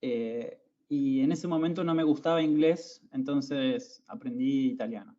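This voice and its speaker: A man's voice: mid-range voice, a little slow, mellow. A young Argentine man hosting a podcast, chatting casually with lots of fillers and restarts. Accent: Argentine Spanish. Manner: hesitant, many fillers and restarts. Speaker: podcast host. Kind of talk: casual conversation. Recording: dull lo-fi audio.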